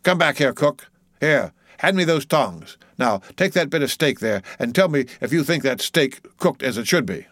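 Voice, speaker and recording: A man's voice: medium pitch, expressive, moderate pace, a bit bright, no room sound, studio quality, voice pushed up, lightly acted. A man voicing a bossy ship's officer in an audiobook sea story, commanding and teasing with mock solemnity. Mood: stern teasing.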